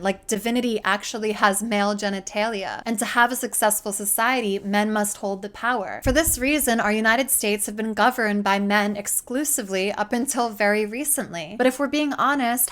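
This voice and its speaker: A woman's voice: medium pitch, fairly bright tone. Woman delivering scripted, argumentative commentary. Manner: articulate, argumentative, addressing an absent opponent directly. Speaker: host of a commentary show. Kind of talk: scripted video essay commentary.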